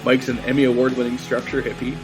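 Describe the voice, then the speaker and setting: A man co-hosting a podcast, introducing a guest and asking questions in a halting, casual way. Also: medium pitch, monotone, over loud music. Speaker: podcast co-host.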